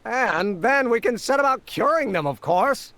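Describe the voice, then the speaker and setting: A man's voice: extremely high pitch, expressive, normal speed, bright, forceful tone, full character voice. An actor playing an eager, earnest young male inventor who explains things in wordy, old-fashioned terms in a video game. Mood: grandly enthusiastic. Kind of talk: animated video game dialogue.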